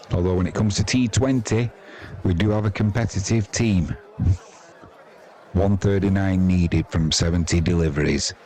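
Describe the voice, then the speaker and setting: A man's voice: low-pitched, a little mellow. A man giving informal live ball-by-ball cricket commentary in a wry, opinionated, colloquial and mildly sweary way. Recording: crowd noise behind the voice. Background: sports crowd.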